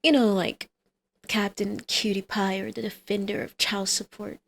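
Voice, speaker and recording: A woman's voice: medium pitch, level tone, moderate pace, creaky, fairly bright tone. A woman playing a flirty female villain and doting mother in an ASMR roleplay, with a teasing, coaxing, sardonic manner.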